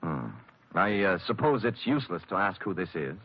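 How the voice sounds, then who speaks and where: An actor playing a wry, hard-boiled private detective, with sardonic, clipped delivery in 1940s radio noir style. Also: male voice, deep voice, sing-song, brisk, breathy edge, heavily acted. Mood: dry, probing. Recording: dull old radio broadcast recording.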